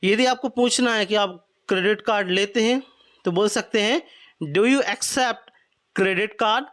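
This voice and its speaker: A man in his twenties from Madhya Pradesh teaching English phrases, explaining in Hindi and repeating each phrase slowly. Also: high voice, fairly bright tone. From Madhya Pradesh, India. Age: 20s. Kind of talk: spoken English lesson.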